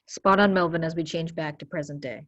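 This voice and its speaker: Casting: female narrator for a play reading, lowish register, measured delivery of stage directions. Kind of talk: scripted narration.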